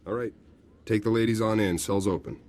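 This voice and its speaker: An actor playing an awkward, hesitant prison guard officer in a video game, informal and bumbling. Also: male voice, on the low side, steady tone, brisk, a little mellow, lightly acted. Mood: resigned.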